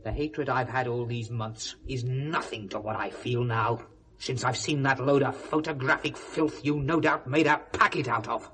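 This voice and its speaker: A man's voice: medium pitch, normal speed, fairly bright tone, slight background noise, lightly acted, rough recording. An actor playing a scornful, articulate English man who bitterly accuses, in 1970s British radio drama style. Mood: bitter sarcasm.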